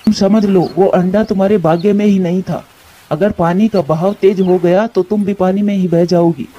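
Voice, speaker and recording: A man voicing a caring, sensible male bird in a cartoon story, earnest and measured, on a muffled track. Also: high voice, steady tone, warm tone, slightly rough. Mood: urgent. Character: caring, sensible adult male pigeon, protective friend. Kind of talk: Hindi cartoon story dialogue.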